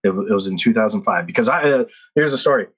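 A man's voice: fairly low pitch, lively intonation, fast, a bit bright, breathy edge. A man in his thirties from the Philippines, telling stories in a casual chat with frequent restarts. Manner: rambling storyteller with frequent restarts and laughs. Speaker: podcast guest.

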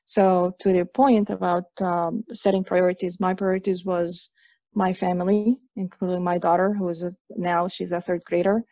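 A woman's voice: lowish voice, level tone, normal speed, warm tone, dry recording, highs cut off. A woman from Egypt in her thirties, a guest speaking hesitantly with many fillers and restarts. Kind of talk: conversational interview.

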